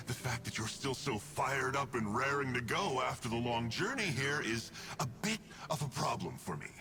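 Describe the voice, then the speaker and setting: An actor playing a smug, cunning villain in a video game, with a theatrical, mockingly casual drawl. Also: male voice, medium pitch, very animated, moderate pace, over music, lightly acted. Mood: smug taunting. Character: smug, teasing villain, a cunning man of the darkness. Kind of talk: English video game voice acting.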